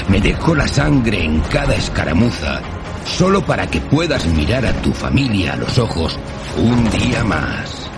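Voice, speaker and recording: Audio drama: male actor as boastful, indignant soldier protagonist, Spain accent, deep voice with big swings in pitch. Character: proud, touchy male soldier hero of an unfinished story. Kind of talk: audio fiction acting.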